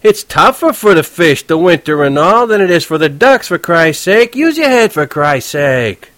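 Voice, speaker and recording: A man voicing an impatient middle-aged cab driver who talks rough street slang and keeps yelling. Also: a little high, sing-song, normal speed, no echo, voice pushed up. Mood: irritated, yelling.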